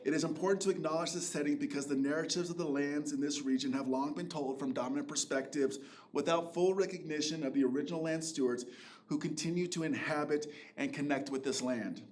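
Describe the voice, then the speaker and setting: A man's voice: a little high, steady tone, brisk, darkish tone. A man acting as event emcee, reading formal opening remarks and then thanking sponsors loosely with fillers.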